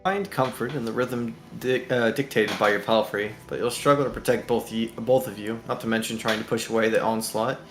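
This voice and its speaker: A man's voice: lowish voice, fairly even intonation, a little fast, few pauses, soft background music. A man co-streaming a story game, giving casual replies and reading the narration aloud.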